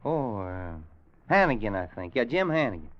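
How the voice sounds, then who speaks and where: An actor playing a laconic, kindly cowboy who narrates and talks in folksy American western speech, in old-time radio style. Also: male voice, on the low side, very animated, normal speed. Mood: reluctant, resigned. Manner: folksy, hesitant drawling cowboy talk. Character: laconic grown cowboy narrating, gentle with an orphaned boy. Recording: muffled, noisy old radio recording.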